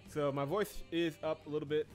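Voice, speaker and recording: A man's voice: a little high, a little fast. A man streaming a story game, chatting casually with his chat and laughing often. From Georgia, US. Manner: chatty and animated, laughs often, reads game text aloud. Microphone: desk mic. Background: game soundtrack music.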